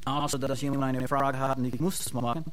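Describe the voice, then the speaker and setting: A man with an American accent, a native English speaker, preaching a sermon in a learned language, halting and restarting as he searches for words, with fervent bursts of praise. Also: mid-range voice, little pitch movement, fairly bright tone. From United States. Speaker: pastor and Bible school teacher.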